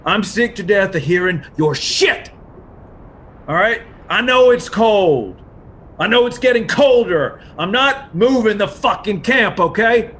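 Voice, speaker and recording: A man playing a hot-tempered, foul-mouthed survivor with a loud, exasperated delivery in a drama table read. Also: sits high, expressive, unhurried. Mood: angry, fed up.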